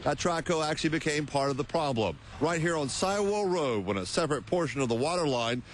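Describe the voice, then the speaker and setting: A man's voice: fairly high pitch, lively intonation, a little fast, a little hiss or hum. A man delivering a local television news report as a reporter.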